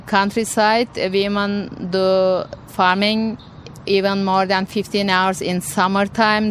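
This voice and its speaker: A woman's voice: medium pitch, a little hiss or hum. A woman from Turkey, answering interview questions hesitantly and restarting as she searches for words. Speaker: interview guest, researcher. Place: conference venue.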